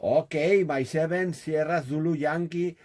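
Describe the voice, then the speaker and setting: A man's voice: fairly high pitch, steady tone, measured pace, a little mellow. A man from Spain with a Spanish accent making amateur radio contacts, repeating his words and callsigns.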